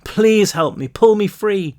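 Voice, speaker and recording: A man's voice: high-pitched, animated, a little slow, dark tone, voice pushed up. A man voicing a desperate, terrified boy with a stunted wing, pleading and near tears. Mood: pleading, panicked.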